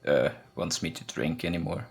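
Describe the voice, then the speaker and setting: A young man in his early twenties with a deep voice, bantering playfully with fellow tabletop players. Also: normal speed, gravelly, a little hiss or hum. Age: 20s.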